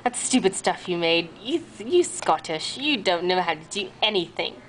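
A woman's voice: lowish voice, lively intonation, normal speed, voice pushed down, close to an everyday voice. A woman voicing a scatterbrained, cocky male alien time traveler who mutters and boasts in an amateur comic puppet show. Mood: smug, teasing. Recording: noisy homemade camera recording.

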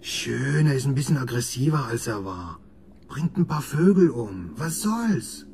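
An actor playing a haunted, grieving middle-aged father in an audio drama, halting and often hushed. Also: medium pitch, sing-song. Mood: agitated, desperate. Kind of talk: audio drama acting, alternating intimate inner monologue and dialogue. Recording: noticeable background noise and ambience.

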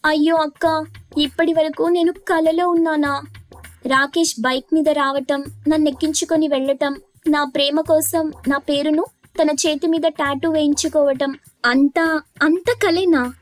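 A woman voicing a dreamy, excitable young woman in love, in a girlish, dramatic manner for a cartoon story. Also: sits high, a little slow, no room sound, faint music behind, voice pushed up. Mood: disappointed.

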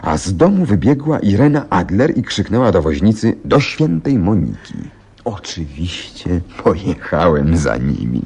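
An actor playing a shrewd, self-assured gentleman detective, precise and theatrical, in a radio play. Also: low-pitched, sing-song, unhurried, breathy edge, exaggerated voice. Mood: eager storytelling. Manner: precise, inquisitive, theatrical storytelling.

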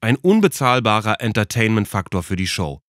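A man's voice: lowish voice, very animated, fairly quick, a bit bright, dry recording, clean studio sound. A man hosting and narrating a documentary podcast from a script.

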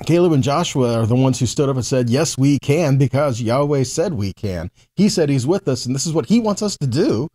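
A man in his forties teaches scripture in a soft-edged, mellow voice at a middle pitch. He moves between reading verses and conversational explanation, stressing key words and putting rhetorical questions to the listener. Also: animated, brisk, hardly any pauses. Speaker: Bible teacher.

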